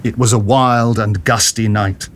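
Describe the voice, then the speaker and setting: A man's voice: lowish voice, fairly even intonation, measured pace, very noisy. A man reading scripted story narration as a narrator in an audio drama.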